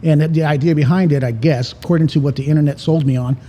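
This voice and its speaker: Joking man with a higher, mellow voice. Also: steady tone, fast. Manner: joking, profane storyteller. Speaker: podcast co-host. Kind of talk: casual bantering chat. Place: indoor cigar lounge. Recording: reverberant room, background noise.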